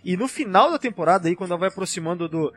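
A man's voice: high-pitched, lively intonation, very fast, a bit bright, faint music behind. A man with a Brazilian accent in a casual fan discussion, explaining things in an enthusiastic, rambling way as a podcast panelist.

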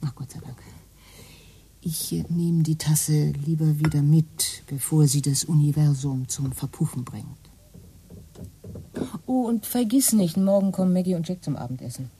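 An actress playing a sardonic, teasing wife in a radio play. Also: female voice, low-pitched, moderate pace, warm tone, husky, low-quality recording. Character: dry, sarcastic wife. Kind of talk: radio drama acting.

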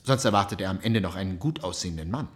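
A man playing a petty, jealous, dim-witted gentleman in a radio drama, fussy and grumbling. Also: deep voice, fast talker, soft background music, lightly acted. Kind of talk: literary radio drama acting.

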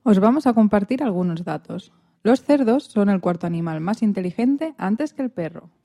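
A woman's voice: mid-range voice, expressive, normal speed, dark tone. A woman co-presenting a radio program, reading informational narration.

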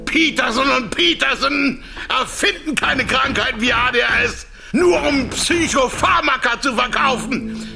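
A man playing a cynical, cornered pharmaceutical executive in a radio play, shouting emphatically. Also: very high-pitched, measured pace, gravelly, over music, heavily acted. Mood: furious ranting. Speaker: actor in a radio drama. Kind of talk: German radio play acting.

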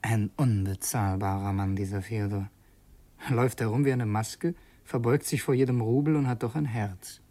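An actor playing an earnest, brooding revolutionary who narrates in an intense, halting way in a radio play. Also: male voice, lowish voice, steady tone, mellow, close to an everyday voice. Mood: reflective. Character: earnest, brooding male revolutionary narrating his secret errand. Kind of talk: radio play, first-person dramatic narration.

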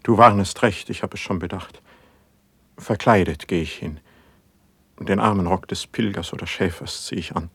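Anguished male poet, low husky voice, declamatory verse, radio drama, very dry close sound. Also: fairly bright tone, no room sound, heavily acted. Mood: brooding, dreamy. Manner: declamatory, measured stage diction. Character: brooding, suspicious, passionate poet in anguish. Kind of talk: classical German verse drama, radio play.

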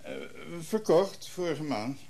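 An actor playing a brusque, defensive middle-aged shopkeeper, curt and dry, in a naturalistic radio play. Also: male voice, on the high side, big pitch swings, slow pace, dark tone, a little hiss or hum, exaggerated voice.

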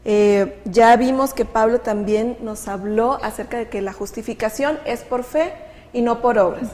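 A woman's voice: a little high, measured pace, darkish tone, in a large hall. A woman hosting a Bible study talk show, leading the discussion in a friendly way with frequent fillers. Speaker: host of a Bible study program. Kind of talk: casual religious study chat.

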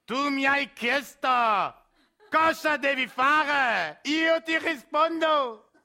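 A piercing, high-voiced priest. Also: male voice, pushed and bright, full character voice. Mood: sanctimonious. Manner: slow, solemn, mannered. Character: Padre Tuccio, unctuous, sanctimonious priest.

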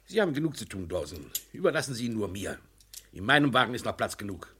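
An actor playing a stern, commanding mine boss in a radio crime drama, with a biting, formal authority. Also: male voice, on the low side, sing-song, fast, bright, forceful tone, breathy edge, exaggerated voice. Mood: stern, pressing. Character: stern, commanding male mine boss, sardonic toward his prisoner. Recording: old radio play with faint noise.

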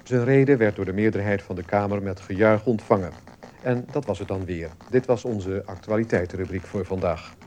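An actor playing a sober male radio news reader, formal and measured, in a Dutch radio play. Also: fairly low pitch, darkish tone, slight background noise, lightly acted. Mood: neutral, formal.

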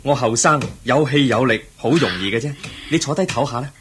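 Radio play: a kind, soft-spoken young holy man, played by a man, reassuring and courteous, mid-pitched. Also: a little fast, slight background noise, lightly acted. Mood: gentle, kind. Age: young adult. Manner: gentle, polite, reassuring. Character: young wandering monk, kind and gentle. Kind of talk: radio drama acting.

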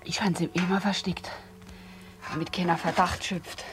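Radio play: actress as tender, secretive mother, hushed delivery over very loud music. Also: on the low side, little pitch movement, moderate pace, natural voice. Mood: hushed tenderness. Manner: hushed, caring. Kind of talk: German radio play acting.

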